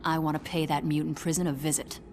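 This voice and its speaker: An actress playing a confident, flirtatious mutant rebel in a video game, cool and sultry in delivery. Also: female voice, deep voice, little pitch movement, fast talker, darkish tone, soft background music. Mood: determined. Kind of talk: video game cutscene acting.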